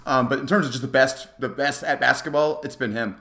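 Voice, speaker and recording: A man with a bright, forward voice talks very quickly at a middling pitch. He sounds like a podcast co-host in an informal, chatty sports discussion. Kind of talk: casual basketball podcast chat.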